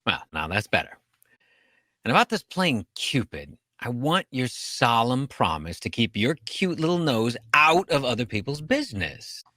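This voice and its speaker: An actor playing a jealous, hot-tempered but loving young husband in a re-created 1940s radio comedy, with an excitable, exaggerated delivery. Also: male voice, mid-range voice, moderate pace, no echo, clean studio sound. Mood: softened, affectionate.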